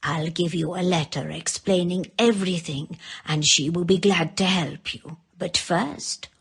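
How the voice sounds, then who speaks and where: A woman voicing a kindly, soothing old woman of the far north in a children's fairy tale, with a husky voice. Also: lowish voice, fairly even intonation, measured pace, heavily acted. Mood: kindly, reassuring. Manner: soothing, motherly. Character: kindly old Lapland woman, gentle and pitying.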